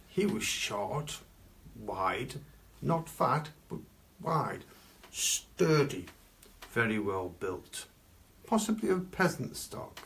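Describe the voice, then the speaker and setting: A man playing a pompous Victorian gentleman collector, telling macabre tales in a grandiloquent, theatrical way with dramatic pauses. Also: mid-range voice. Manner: grandiloquent, archaic phrasing, dramatic pauses and stresses. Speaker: costumed storyteller. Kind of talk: theatrical live storytelling.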